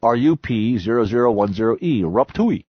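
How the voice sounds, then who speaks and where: A man voicing an eager, inquisitive ship historian, talking well above his own pitch. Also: expressive, normal speed, breathy edge, dry recording, lo-fi sound.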